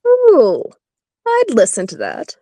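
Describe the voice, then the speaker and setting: An actress playing a quick-witted lawyer friend in an audio play, teasing and expressive. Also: female voice, a little high, no echo, studio quality, heavily acted.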